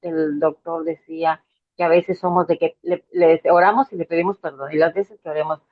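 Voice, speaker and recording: A woman's voice: deep voice, fairly even intonation, normal speed. A woman in her fifties with a Mexican Spanish accent, giving an earnest, hesitant personal testimony full of fillers and restarts. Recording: tinny phone-like line.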